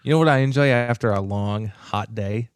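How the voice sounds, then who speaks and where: A man's voice: fairly low pitch, normal speed, clean tone, dry recording, studio quality. A man co-hosting a podcast, chatting enthusiastically and profanely with plenty of fillers. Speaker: podcast co-host. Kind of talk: casual chat.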